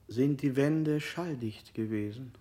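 An actor playing a calm male interrogator in a 1960s radio play. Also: mid-range voice, slow pace, natural voice. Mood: probing. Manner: calm, probing questions. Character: cold male interrogator, middle-aged.

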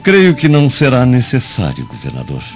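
A Brazilian man acting a cautious, smooth-talking Roman henchman in a radio drama, deferential and theatrical. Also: mid-range voice, wildly swooping pitch, moderate pace. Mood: sly, teasing. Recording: muffled lo-fi audio, clear music underneath.

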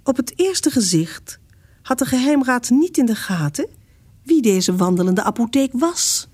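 A woman's voice: on the high side, very animated, measured pace, a little mellow, breathy edge. A woman narrator reading a scripted story for a radio serial. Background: music.

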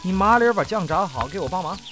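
Lightish, brisk middle-aged man, acted. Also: high-pitched, expressive. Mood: grumbling. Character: brisk, mischievous middle-aged martial uncle. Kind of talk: audio drama acting. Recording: background music under the voice.

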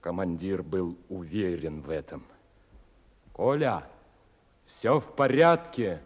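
A muffled recording with long hall reverb carries a solemn Soviet officer in a radio play. He addresses his doomed comrades slowly and declaims each phrase in a fairly high male voice. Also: lively intonation, breathy edge, full character voice. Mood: grave resolve. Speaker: radio drama actor. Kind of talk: theatrical Russian radio drama.

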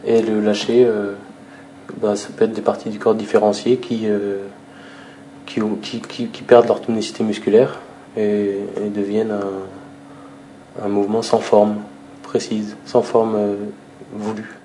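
A man's voice: fairly low pitch, little pitch movement, measured pace, mellow, slight background noise, rough recording. A man talking casually and reflectively, hesitant as he searches for words.

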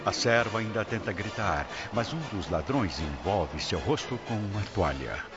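Brazilian man, deep solemn storytelling, scripted drama narration, lo-fi sound, prominent music. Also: expressive, moderate pace. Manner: solemn, literary. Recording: dull audio under loud dramatic music.

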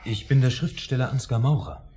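A man acting in a German radio drama as a troubled, anxious writer, earnest and polite, with a husky voice and a hesitant, pleading manner. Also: mid-range voice, animated, fairly quick, mellow. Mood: polite, reserved.

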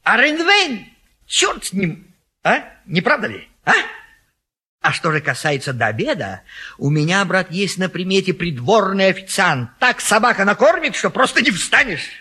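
An excitable, high-pitched, pushy friend. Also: male voice, normal speed, breathy edge, full character voice. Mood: bustling, eager. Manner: insistent, theatrical, cajoling and scolding. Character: pushy, excitable male friend bullying a bachelor into marriage. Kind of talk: classic Russian stage comedy acting.

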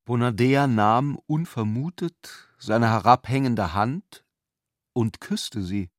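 A man reading literary prose for a radio production in a measured, matter-of-fact way. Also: medium pitch, dry recording, studio quality. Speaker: narrator of a radio literary adaptation. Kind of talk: literary scripted narration. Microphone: close studio mic, dry.

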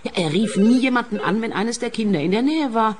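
An actress playing a bitter, grasping adult daughter in a radio play, with a cutting, indignant, dramatic delivery. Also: female voice, on the high side, expressive, fairly quick, noisy background, natural voice. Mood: nosy, grasping. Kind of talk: radio comedy-crime acting.

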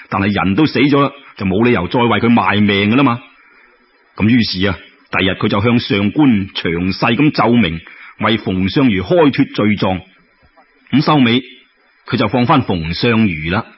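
Animated Cantonese male storyteller, slightly husky. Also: medium pitch, highs cut off. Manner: animated, colloquial, with exclamations and sound effects. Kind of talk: dramatic Cantonese-style folk tale storytelling.

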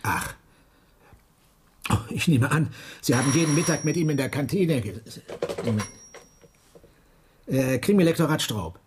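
An actor playing an anxious, doubting, nitpicking man in a German radio drama, speaking haltingly but insistently. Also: fairly high pitch, lively intonation, darkish tone, a little hiss or hum. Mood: mildly flustered. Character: anxious, doubting, nitpicking male accident suspect. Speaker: crime-fiction editor and client.

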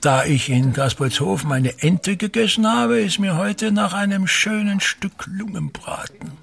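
A man's voice: high-pitched, unhurried, gravelly, a bit bright, breathy edge, full character voice. An actor playing a pompous, self-important older theatre man and tyrannical father, declaiming disdainfully and repeating his phrases in a radio drama. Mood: pompous musing.

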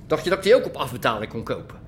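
An actor playing a gruff, grumbling businessman in a naturalistic radio drama, cursing and exasperated. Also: mid-range voice, sing-song, rapid-fire, a little hiss or hum, natural voice. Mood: worried, grumbling.

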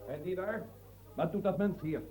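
An actor in a radio play playing a gruff guard who barks orders to clear out a crowd of women. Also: male voice, high-pitched, normal speed, warm tone, natural voice. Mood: commanding. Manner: barking orders. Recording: echoey, distant, noisy crowd.

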